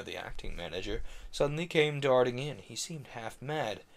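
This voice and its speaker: Reader as a middle-aged male theater manager, formal and grave, low, hushed and measured.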